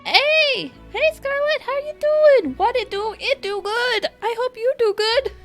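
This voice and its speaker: A woman game streamer with a very high, swooping voice. She comments casually and upbeat, repeating little phrases in an unhurried way, with faint music underneath. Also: lively intonation.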